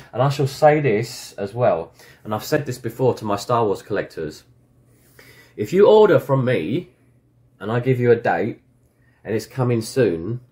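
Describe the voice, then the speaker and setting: Man, middle-aged, hoarse, rambling casual vlog, shop owner, indoor stockroom. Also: medium pitch, darkish tone. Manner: chatty, rambling, frequent fillers and restarts. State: hoarse, recovering from illness. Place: indoor stockroom full of toy boxes.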